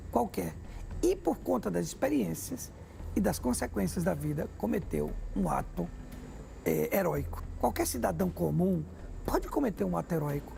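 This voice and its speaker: A man speaks reflectively in a documentary interview. His voice is heavily gravelly and dark in tone, with a faint hum in the background. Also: high voice.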